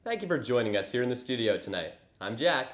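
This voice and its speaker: A man plays a TV news anchor in a comedy sketch. The voice sits at a middling pitch, with a formal, slightly wry scripted delivery in an echoey room. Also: very animated, fast, lightly acted. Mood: newsy, wry. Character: Jack, male fairy tale TV news anchor. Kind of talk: mock TV newscast, scripted. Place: indoor studio set.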